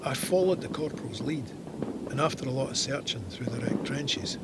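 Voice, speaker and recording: A man's voice: normal speed, close to an everyday voice. An actor playing a Scottish soldier narrating his war memoir in scripted audio drama style. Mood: measured, grave. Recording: loud storm and rumble effects behind.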